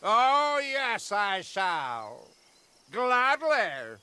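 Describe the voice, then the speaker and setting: An actor playing a zealous, righteous witch-hunting warrior priest in a video game, pompous and grandiloquent, pausing deliberately for effect. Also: high-pitched, wildly swooping pitch, pushed and bright, clean tone, full character voice. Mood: menacing relish. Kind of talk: theatrical video game mission briefing.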